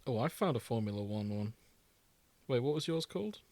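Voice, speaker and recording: A man's voice: medium pitch, lively intonation, a little fast, a little mellow, no room sound. A British man co-hosting a podcast in casual comedic chat, irreverent, rambling and sweary. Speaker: podcast co-host.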